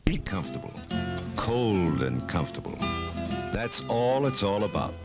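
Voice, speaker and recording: A man's voice: lowish voice, sing-song, unhurried. An American man as a commercial announcer, narrating a 1960s radio beer advertisement on an old AM tape. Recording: 1968 AM radio tape, loud music behind. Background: loud plucked guitar music.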